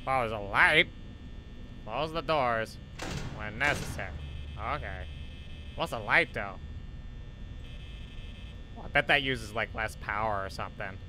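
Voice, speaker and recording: A man voicing a nervous, excitable cartoon teacher commenting on a horror game, easily flustered and repeating his questions. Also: fairly low pitch, measured pace, long pauses, a little hiss or hum, poor audio. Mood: cautious. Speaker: gameplay video host.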